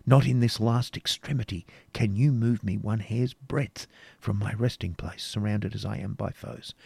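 A defiant young woman, as a male reader puts her on, speaking softly and solemnly in a low, gravelly voice. She is steadfast and grave. The storybook reading is close and dry. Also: moderate pace, no echo, exaggerated voice.

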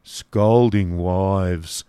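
A man voicing a gloomy, petulant apparition in a storybook reading, with a grim, drawn-out, snarling delivery. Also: low voice, steady tone, mellow, smooth, no room sound, studio quality. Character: gloomy, petulant male apparition urging despair.

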